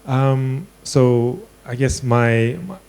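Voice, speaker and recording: A man's voice: mid-range voice, drawn-out words, a little mellow, clean tone. A man born in China and raised in the US, a tech founder speaking in a business interview, with frequent fillers and restarts. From born in China, grew up in the US. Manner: hesitant, many fillers and restarts.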